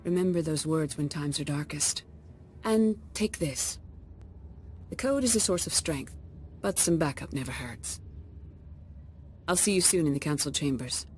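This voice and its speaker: An actress playing the serene female leader of the Jedi Council in a video game, with a measured manner. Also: deep voice, lively intonation, a little hiss or hum. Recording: game cutscene with music behind.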